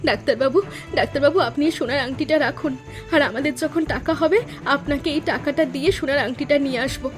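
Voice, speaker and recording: A woman's voice: high voice, fast talker, music in the background, lightly acted. A woman playing a fretful, scolding village wife and mother in a cartoon, emphatic and dramatic. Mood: worried, pleading. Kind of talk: Bengali cartoon voice acting.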